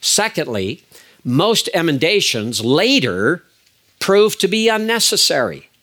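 An older man giving a lecture as a biblical Hebrew teacher, measured and emphatic, with frequent fillers and restarts. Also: fairly high pitch, very animated, fairly bright tone. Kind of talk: recorded lecture.

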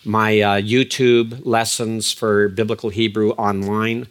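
An older man giving a lecture as a biblical Hebrew teacher, measured and emphatic, with frequent fillers and restarts. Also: on the low side, little pitch movement. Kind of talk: recorded lecture.

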